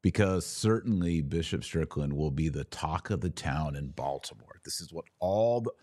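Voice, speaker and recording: A low-pitched American man speaks as a podcast commentator on church news. His delivery is opinionated and emphatic, broken by deliberate pauses and dry asides. The sound is close and free of room echo. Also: lively intonation, a little mellow.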